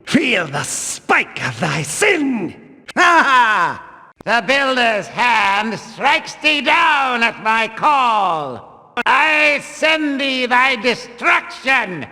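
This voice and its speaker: Zealous temple guard, high-pitched, slow declamatory delivery, archaic commands, stern and combative, video game, reverberant hall. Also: male voice, big pitch swings, sharp tone, full character voice. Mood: furious, battle cry. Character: zealous male religious guard hunting thieves, stern and combative. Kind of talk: video game voice acting, archaic battle barks.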